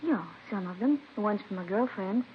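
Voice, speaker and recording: A woman playing a casual, cooperative young college graduate in a 1950s radio drama, plainspoken and unhurried. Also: medium pitch, expressive, natural voice. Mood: offhand, thoughtful. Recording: muffled, noisy vintage radio recording.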